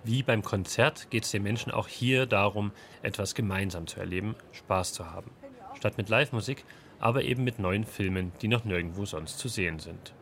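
A man's voice: on the low side, moderate pace. A man reporting for a radio culture magazine in scripted narration, reflective and posing rhetorical questions.

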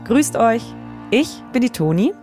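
A woman's voice: on the high side, very animated, a little slow, mellow. A woman podcast host delivering a scripted promo. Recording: music bed behind the voice.

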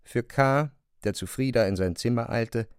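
A man's voice: mid-range voice, moderate pace, clear, resonant tone. A man narrating a literary story from a script as the narrator of a radio drama.

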